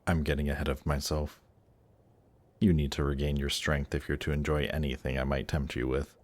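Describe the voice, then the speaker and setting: A man playing a suave demon prince in an intimate audio roleplay, speaking in a slow, seductive, gently coaxing way. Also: very deep voice, little pitch movement. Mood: tempting. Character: suave demon prince of Hell, tempting and reassuring. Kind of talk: intimate audio roleplay, scripted monologue to listener.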